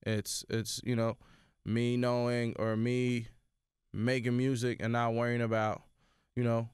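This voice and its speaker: A young man with a New York accent, originally from Sudan, talking casually as an interview guest in a radio studio. He speaks in a relaxed way and repeats words as he thinks. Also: medium pitch, a little slow, smooth, no echo. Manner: laid-back, repeats words while thinking. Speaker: rapper guest. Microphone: studio mic.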